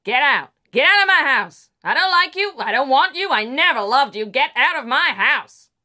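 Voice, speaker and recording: A woman voicing a cruel, drunken mother who shouts at her son in a storybook reading. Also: big pitch swings, normal speed, full character voice. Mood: furious. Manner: shouting, shrill.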